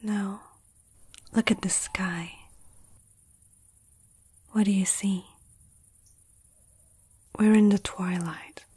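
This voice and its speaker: Gentle female vampire, played by a woman, ASMR roleplay. Hushed, husky, unhurried, soothing, medium pitch. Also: steady tone, heavily acted. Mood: gentle, earnest. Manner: soft-spoken, often whispering, slow and soothing. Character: gentle, protective female vampire comforting a human.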